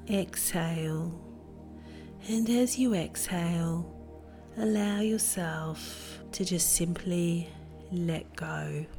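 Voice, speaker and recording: A woman narrating a guided meditation in a gentle, soothing manner with deliberate phrasing. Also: lowish voice. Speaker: meditation guide and podcast host.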